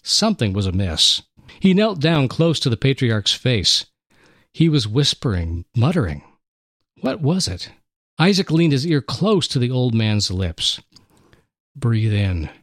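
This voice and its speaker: A man narrating a dramatic story slowly and deliberately, with expressive delivery. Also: mid-range voice, no room sound, clean studio sound. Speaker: dramatic reader, radio host.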